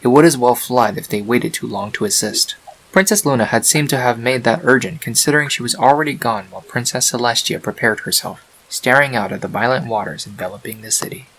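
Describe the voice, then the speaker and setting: A man narrating a scripted fan-fiction story in a measured, dramatic storytelling manner. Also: medium pitch, fairly bright tone, rough recording. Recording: faint hiss on home recording.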